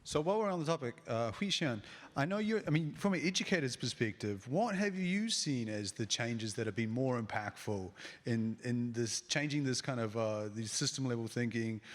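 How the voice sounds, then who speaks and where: Male panel participant, conversational and thoughtful, halting phrasing, live hall acoustics. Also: mid-range voice, expressive. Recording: reverberant hall, live event.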